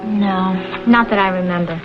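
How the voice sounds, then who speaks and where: A woman's voice: mid-range voice, normal speed, close to an everyday voice. An actress playing an eager, girlish young woman photographer in a vintage screen drama. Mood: keen. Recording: dull vintage TV soundtrack, background music.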